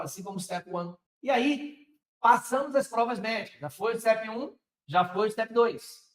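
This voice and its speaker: A Brazilian man with a Brazilian Portuguese accent, teaching a live online lecture on medical exam prep in an energetic, direct way, often asking his viewers rhetorical questions. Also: sits high, reverberant, rough recording.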